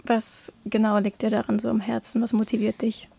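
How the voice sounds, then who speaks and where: A woman's voice: on the high side, monotone, fairly quick, vocal fry, dark tone, slightly rough. A woman interviewing a guest, asking friendly, prompting questions.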